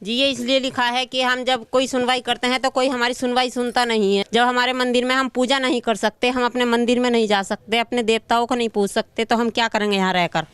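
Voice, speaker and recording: A local woman answers a reporter with a complaint. Her voice is fairly high and clear, staying on an even, flat melody as she speaks. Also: fairly quick, few pauses, dry recording. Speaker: local resident being interviewed.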